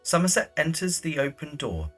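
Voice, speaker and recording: A man's voice: a little high, big pitch swings, moderate pace, fairly bright tone, soft background music. A man narrating an audio drama, reading scripted scene descriptions in the present tense.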